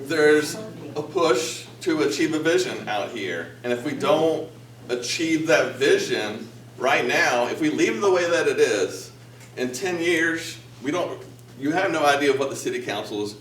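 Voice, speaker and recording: A man presenting a project, answering a question at a public meeting in a measured, explanatory way. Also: a little high, a bit bright. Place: public meeting room, indoors. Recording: echoey room, voice picked up from a distance.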